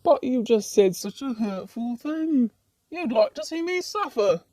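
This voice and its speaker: This is a woman's take on an ordinary demon who is thoroughly at ease in hell. The voice is dark and relaxed, recorded very dry. The talk wanders casually in colloquial turns, with a mild teasing edge, as in a comic puppet show. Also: a little high, a little slow, dry recording. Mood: mock hurt. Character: laid-back, chatty, ordinary demon lounging in hell.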